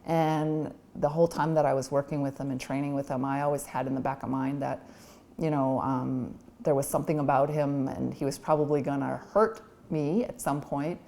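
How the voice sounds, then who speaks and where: Low, mellow-voiced woman in her forties. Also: steady tone, normal speed, vocal fry. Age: 40s. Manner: candid, reflective, with frequent ums. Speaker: interviewee. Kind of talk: documentary interview.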